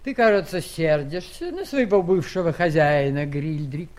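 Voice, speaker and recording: An actress playing an imperious, playful giant queen in a theatrical, deliberate manner for a children's audio play. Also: sits low, lively intonation, darkish tone. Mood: amazed.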